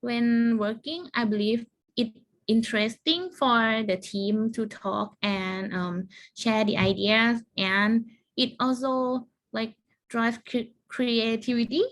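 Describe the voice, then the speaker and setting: Young woman student, fairly high voice, earnest, halting answers. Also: steady tone. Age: young adult. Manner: earnest, slightly halting, with "like" fillers. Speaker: university student. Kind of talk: mock job interview answers.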